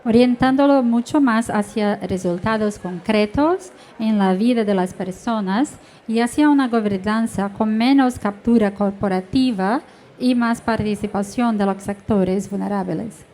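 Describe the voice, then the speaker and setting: A woman with a Brazilian Portuguese accent, a native Portuguese speaker, carefully and haltingly reading prepared panel remarks in a second language. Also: medium pitch, warm tone.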